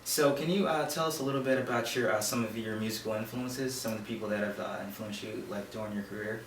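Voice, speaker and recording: A man's voice: on the low side, fast. A man interviewing someone in a casual, agreeable, informal way, feeling his way through his questions. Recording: roomy echo, distant mic, faint noise.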